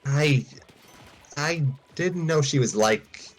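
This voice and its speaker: A man's voice: on the high side, expressive, slow pace, slight background noise, voice pushed up. A man voicing an earnest, awkward young male trainer who stumbles over his words. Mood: flustered. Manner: flustered, stumbling restarts.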